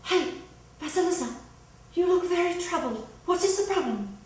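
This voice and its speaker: A woman voicing a small, caring magic doll in a folktale, gently soothing and reassuring. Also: very high voice, lively intonation, moderate pace, pitched above the performer's own voice. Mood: concerned. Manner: gentle, hushing. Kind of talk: dramatic folktale storytelling. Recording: very echoey room, distant mic.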